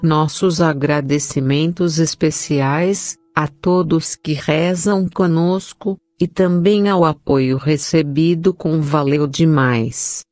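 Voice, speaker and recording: A woman with a Brazilian Portuguese accent reading psalms, mantras and blessings as a solemn, measured prayer reader. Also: deep voice, steady tone, a little mellow. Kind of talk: slow scripted prayer reading.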